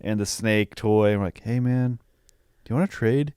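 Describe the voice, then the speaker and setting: A man's voice: fairly low pitch, moderate pace, warm tone, smooth, dry recording, studio quality. A young man co-hosting a podcast, chatting casually about films with slang and swearing. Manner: slangy, profane. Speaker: podcast co-host. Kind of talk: casual film review chat.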